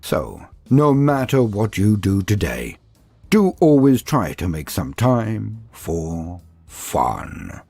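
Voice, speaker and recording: A man's voice: fairly low pitch, very animated, slow pace, creaky, mellow, slightly rough, no echo, soft background music. A man reading scripted fantasy lore as a narrator, in a theatrical, formal storytelling style.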